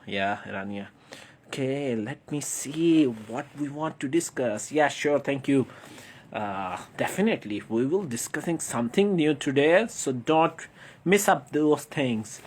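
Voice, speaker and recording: A man's voice: medium pitch, animated, unhurried, darkish tone, a little hiss or hum. A man with a Pakistani accent hosting a casual livestream interview in an effusive, repetitive, wordy way. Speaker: live stream host.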